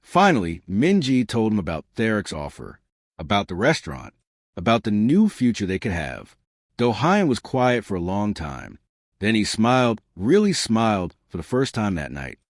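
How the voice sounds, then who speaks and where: A man's voice: normal speed, dry recording, clean studio sound. A man narrating a scripted story, lifting his voice for the characters' lines. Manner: lively storytelling, shifting pitch for quoted characters.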